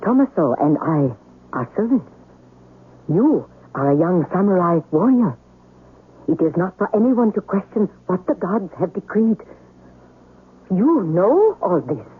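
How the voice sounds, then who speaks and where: A humble, anxious servant wife in a vintage radio play. She sounds husky and speaks with solemn deference, through a thin, narrow old broadcast. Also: lowish voice, very animated, a little slow, pauses often, full character voice. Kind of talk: 1970s American radio drama acting.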